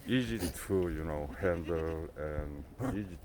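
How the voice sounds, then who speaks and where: A Korean man around sixty with a Korean accent, talking casually to camera in halting English, often pausing and restarting. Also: rumbling low. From South Korea. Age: 60s. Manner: halting, searching for words, many pauses and restarts. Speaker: goat farmer vlogger. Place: outdoors on a goat farm. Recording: noisy outdoor recording with goats. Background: goats bleating.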